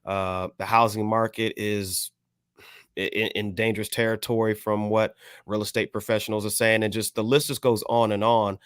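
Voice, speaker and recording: An American man co-hosting a podcast, discussing things casually in a measured, careful, hedging way. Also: lowish voice, level tone, fairly bright tone, clear, resonant tone, dry recording, clean studio sound. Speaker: podcast co-host.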